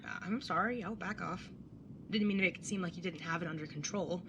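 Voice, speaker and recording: Woman acting low-voiced, awkward caring older sibling. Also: brisk, lo-fi sound, background noise, close to an everyday voice. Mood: gentle, concerned. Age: young adult. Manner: casual, hesitant, wry. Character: awkward, caring older sibling, early twenties, tattooed, teasing. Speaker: narrator and lead character. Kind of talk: audio drama acting with first-person narration.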